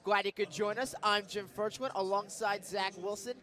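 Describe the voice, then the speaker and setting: This is a man with a very high-pitched voice calling basketball live on the radio. He is an excitable announcer who raises it to a shout for big plays. He is in an indoor arena. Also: moderate pace.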